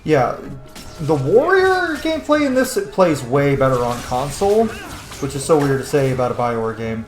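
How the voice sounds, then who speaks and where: Male gaming host, lively swooping delivery, chatty and foul-mouthed, in a roomy space with faint music behind. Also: mid-range voice, moderate pace. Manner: sweary, chatty, theatrical. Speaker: host of a video game let's-play. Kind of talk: casual gaming commentary. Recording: roomy echo.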